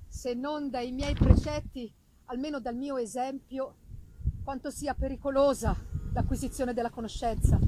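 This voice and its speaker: Woman voicing slow, theatrical, obsessed scientist, high-pitched. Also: very noisy. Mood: grave warning. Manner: theatrical, emphatic, with drawn-out words and dramatic pauses. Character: obsessed male scientist confessing his dangerous discovery, exalted and haunted. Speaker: stage reader. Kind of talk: dramatic literary reading of a gothic novel with live music. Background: live musical accompaniment.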